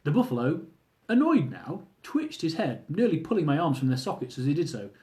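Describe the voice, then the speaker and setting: A man's voice: a little high, very animated, fairly quick, dark tone. A man reading his own humorous memoir aloud, telling the story wryly and speaking asides to his listeners. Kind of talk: audiobook-style reading of a travel memoir.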